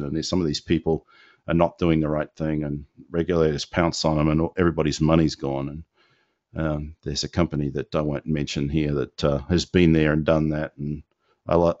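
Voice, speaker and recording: A man's voice: a bass voice, no echo. A man hosting a live business question-and-answer call, answering loosely with many fillers and restarts. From not from Indiana. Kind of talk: live Q&A webinar, casual. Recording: dull lo-fi dry audio.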